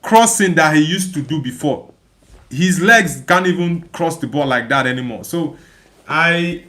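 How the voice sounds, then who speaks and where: Male livestream host, fairly high-pitched, chatty and emphatic, reads viewer messages and replies off the cuff with repeated phrases. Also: expressive, unhurried, pushed and bright. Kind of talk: casual live chat, reading viewer comments aloud and replying.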